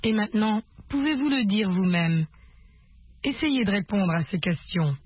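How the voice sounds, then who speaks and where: A woman presenting a language lesson, giving scripted instructions in a measured, slightly husky voice. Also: mid-range voice, animated, dry recording. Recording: muffled lo-fi, dry narration.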